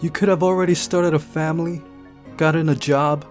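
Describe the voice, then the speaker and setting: Discontented, vulnerable young woman for a visual novel, higher and emotionally pointed, acted by a man, over music. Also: fairly even intonation, normal speed, lightly acted. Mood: bitter, upset. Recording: music behind the voice.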